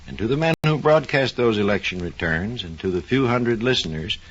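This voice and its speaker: A man giving scripted educational narration on 1940s radio in a formal, measured storytelling style. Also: on the low side, animated. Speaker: research engineer, guest narrator. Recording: muffled vintage 1940s radio recording.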